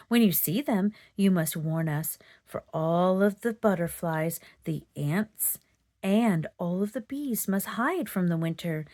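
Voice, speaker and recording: Woman as a slow, wise old butterfly. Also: medium pitch. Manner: thoughtful, unhurried, instructive. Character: wise old male monarch butterfly, kindly and thoughtful. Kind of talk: children's storybook character voice.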